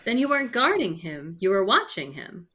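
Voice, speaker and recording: A woman's voice: medium pitch, very animated, normal speed, a bit bright, husky. A woman playing an outspoken, sardonic female sidekick in an old-time radio mystery, with folksy exclamatory delivery. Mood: sardonic, challenging.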